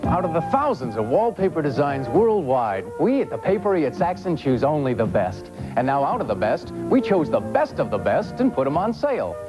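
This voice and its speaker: A man's voice: on the high side, sing-song, moderate pace, few pauses. A man reading a scripted retail commercial as an announcer. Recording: 1970s TV ad with music bed.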